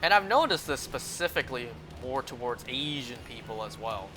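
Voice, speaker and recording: A man's voice: mid-range voice, big pitch swings, normal speed, music in the background. A young man with a North American accent chatting casually and in a rambling way while streaming a video game, speaking into a close mic.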